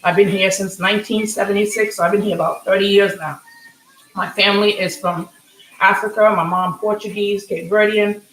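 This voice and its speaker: A woman in her forties from Senegal hosting a casual live cooking stream from her home kitchen, chatty and rambling, often asking "okay?", with a slightly hoarse voice. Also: mid-range voice, a bit bright, echoey room, a little hiss or hum, poor audio. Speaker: home cook, channel host. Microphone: computer or phone camera mic.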